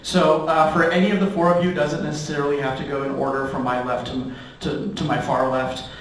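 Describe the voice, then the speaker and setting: A man's voice: fairly high pitch, little pitch movement, a little fast, a little hiss or hum. A man moderating a conference panel who politely frames long, careful questions. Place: conference stage in a large hall. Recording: echoey hall, distant mic.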